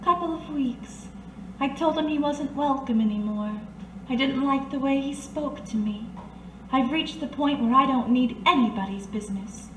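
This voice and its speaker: Woman playing high-voiced, coaxing 1930s underworld confidante. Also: normal speed, a bit bright. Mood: assured, flirtatious. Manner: coaxing, knowing, unflappable. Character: blind, worldly, soothing 1930s working woman who knows underworld secrets. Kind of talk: old-time radio detective drama acting. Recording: roomy, distant amateur recording, faint noise.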